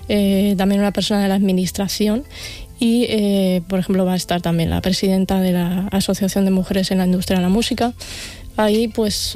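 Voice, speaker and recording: Spanish-accented woman festival organizer, mellow and even delivery, soft music underneath. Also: mid-range voice. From Spain, Ciudad Real. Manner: explanatory, with many fillers and tag questions. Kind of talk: conversational interview.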